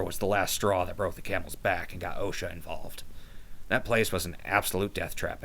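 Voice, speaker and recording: An actor playing a wry, crude post-apocalyptic radio DJ, delivering comedic patter and mock sponsor spots. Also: male voice, low voice, creaky, sharp tone, exaggerated voice. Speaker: radio host.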